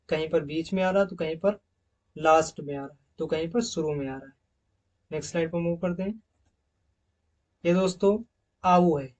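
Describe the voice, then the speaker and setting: A man teaching English phonetics over slides, repeating each example word several times in a loud, drawn-out way. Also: on the high side, lo-fi sound. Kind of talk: slide-based phonetics lesson.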